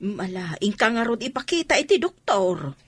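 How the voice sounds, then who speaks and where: A woman's voice: mid-range voice, sing-song, normal speed, breathy edge, no room sound, studio quality. A woman with an Ilocano accent playing a concerned, probing mother of a grown daughter in a radio drama. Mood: worried. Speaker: voice actor.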